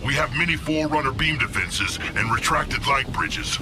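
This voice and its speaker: An actor voicing a fierce, boastful alien warrior commander in a video game, speaking theatrically and eager for battle. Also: male voice, medium pitch, moderate pace, background noise, close to an everyday voice. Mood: commanding, urgent. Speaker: squad leader in a war game. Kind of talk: dramatic video game voice acting. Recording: loud game music behind the voice. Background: loud music.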